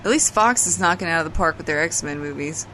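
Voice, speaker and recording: A woman's voice: low-pitched, expressive, quick pace, bright, forceful tone, slight background noise. An actress playing a brash, sardonic woman in a casual, slangy comedy audio drama. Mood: scornful. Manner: sarcastic, casual, slangy, swearing. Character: brash, foul-mouthed, sardonic woman from another timeline.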